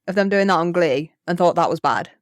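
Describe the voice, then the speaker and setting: A young woman co-hosting a podcast in casual chat, her naturally croaky voice made hoarser by a cold. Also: on the low side, expressive, a little fast. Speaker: podcast co-host.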